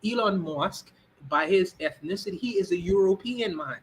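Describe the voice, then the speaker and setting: A man's voice: sits high, lively intonation, moderate pace, husky. A man from the Bahamas, giving his view in casual talk and often restarting his sentences. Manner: many restarts and repeated "you know what I mean". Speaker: guest.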